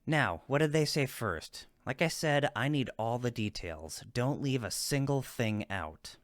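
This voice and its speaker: A man's voice: mid-range voice, animated, a little slow, dry recording, clean studio sound, natural voice. A man playing a friendly cupid roommate in a comfort roleplay, teasing and supportive, with an emphatic, reassuring manner. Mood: excited teasing. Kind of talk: roleplay audio comfort acting, one-sided conversation.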